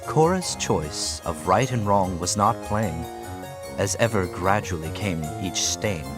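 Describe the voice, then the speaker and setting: A deep-voiced man tells a scripted tale in a solemn, theatrical way. His delivery is slow and swings widely in pitch, over prominent music. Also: expressive. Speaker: audiobook narrator. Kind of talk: theatrical scripted storytelling in rhyme. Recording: music behind the voice.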